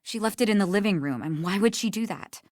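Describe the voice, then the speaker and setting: An actress playing a guilt-stricken, frightened woman in a video game, speaking in a halting, pleading way. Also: medium pitch, animated, no echo, studio quality, natural voice. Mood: worried, subdued.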